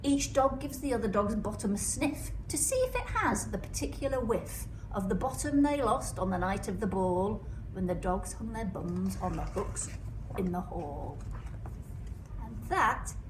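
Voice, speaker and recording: Female storyteller, teacher-like, expressive rhyming read-aloud. Roomy, off-mic sound with clear background noise. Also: medium pitch, unhurried. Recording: echoey room, distant mic, noisy background.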